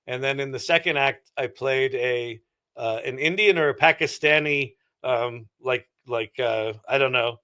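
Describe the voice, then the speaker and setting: A man's voice: mid-range voice, unhurried, sharp tone. A middle-aged man giving casual, reflective and explanatory answers as an interview guest. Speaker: interview guest, entrepreneur and former actor.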